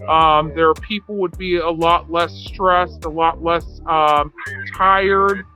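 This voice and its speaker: A woman's voice: lowish voice, steady tone, slow, sharp tone, faint music behind. A woman giving a rambling, unscripted true crime commentary in a self-assured, boastful, argumentative way.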